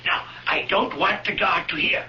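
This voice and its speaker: A flustered older Roman, muffled radio. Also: male voice, high-pitched, moderate pace, pushed and bright, full character voice. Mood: hushed, conspiratorial. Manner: flustered, stammering, conspiratorial. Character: excitable, muddled, kindly older male Roman friend. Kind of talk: 1970s radio drama acting. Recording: muffled lo-fi radio with clear noise.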